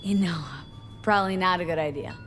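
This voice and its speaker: A woman's voice: on the low side, a little fast, a bit bright, soft background music, lightly acted. An actress playing a tough young female mercenary in a video game, clipped, casual and guarded, with a dry delivery.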